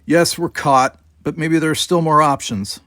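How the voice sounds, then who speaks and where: A man's voice: fairly high pitch, fairly even intonation, moderate pace, sharp tone, dry recording, clean studio sound, voice pushed up. A man voicing a determined, defiant young cadet commander who barks clipped, urgent orders in an audiobook. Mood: firm. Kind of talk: dramatized audiobook dialogue.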